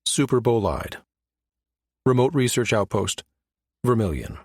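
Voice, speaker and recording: A man's voice: medium pitch, very animated, unhurried, slightly rough, no echo, studio quality. A man reading scripted narration from a science fiction novel as an audiobook narrator.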